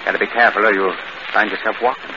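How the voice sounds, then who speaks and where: Actor as an odd, halting old country man. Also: fairly low pitch, steady tone, natural voice. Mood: foreboding. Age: older. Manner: halting, folksy, full of hums. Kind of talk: 1940s radio acting. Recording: muffled, noisy old radio broadcast.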